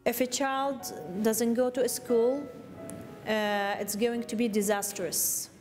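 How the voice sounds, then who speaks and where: Female interviewee, halting speech, long reverb with music underneath. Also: a little high, level tone, a little mellow. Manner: halting, searching for words. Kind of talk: interview answer.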